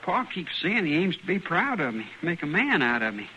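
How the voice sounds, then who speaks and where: A man's voice: fairly high pitch, big pitch swings, fairly quick, sharp tone. An actor playing an earnest, wistful frontier boy in a radio western, with a hesitant rural Western American drawl. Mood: troubled. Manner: hesitant, plain-spoken folksy drawl. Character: earnest frontier boy, nearly grown, folksy, wistful dreamer. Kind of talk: old-time radio western acting. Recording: dull, lightly noisy old radio recording.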